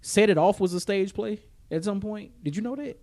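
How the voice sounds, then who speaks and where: An American man co-hosting a podcast in casual, slangy banter, quick to chuckle. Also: high-pitched, a little mellow. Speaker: podcast co-host.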